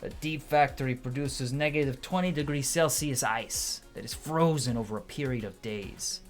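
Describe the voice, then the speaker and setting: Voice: medium pitch, moderate pace, lightly acted. Player acting a suave, theatrical bartender. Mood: suave, savoring. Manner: theatrical, savoring, ends lines on tag questions. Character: suave, courtly bartender, devoted craftsman and romantic. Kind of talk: amateur game dialogue voice-over. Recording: music behind the voice.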